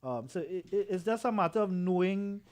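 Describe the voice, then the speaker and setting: A man's voice: sits high, animated, fairly quick, darkish tone. A man, an attorney guest on a radio show, explaining procedures with many restarts and fillers. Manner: explanatory, frequent restarts and filler, chuckling anecdotes. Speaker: immigration attorney, studio guest. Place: radio studio. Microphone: studio microphone, headphones.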